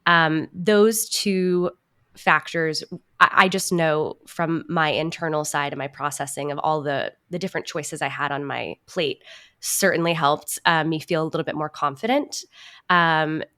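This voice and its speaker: A woman with a somewhat low voice speaks as an interview guest. Her delivery is conversational and unscripted, as she explains things in answer to questions. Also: steady tone, unhurried, pushed and bright, smooth. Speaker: podcast guest, actor and writer.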